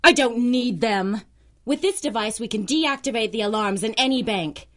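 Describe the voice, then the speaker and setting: A woman's voice: a little high, lively intonation, normal speed, a bit bright. A woman playing a ruthless, imperious supervillain in a pulp radio drama, menacing, theatrical and scornful. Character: ruthless, imperious female supervillain crime lord plotting revenge.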